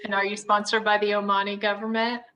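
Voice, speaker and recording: A woman's voice: mid-range voice, level tone, quick pace, pushed and bright. A woman hosting an orientation video call, calling on each person in turn with a friendly, upbeat manner. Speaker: orientation host.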